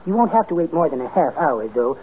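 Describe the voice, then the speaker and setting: An actor playing a meek, timid, apologetic little henpecked man, softly and mildly, in 1950s radio comedy. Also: fairly high pitch, very animated, fast, slightly rough, exaggerated voice. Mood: chatty, earnest. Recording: muffled tinny 1950s radio recording.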